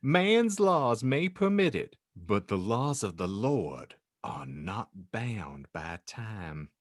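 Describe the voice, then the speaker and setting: A man narrates a fan fiction with slow, deliberate pacing and lots of pitch movement. His delivery is mock-serious and dry, with a close, dry microphone sound. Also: big pitch swings, no room sound. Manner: dry, mock-serious reading, breaking into laughs. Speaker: podcast host and narrator.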